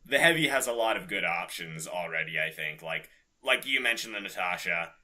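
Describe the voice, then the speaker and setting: A man co-hosting a podcast in casual gaming chat, quick to laugh as he talks. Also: low-pitched, animated, pushed and bright. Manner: laughs readily while talking. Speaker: podcast co-host.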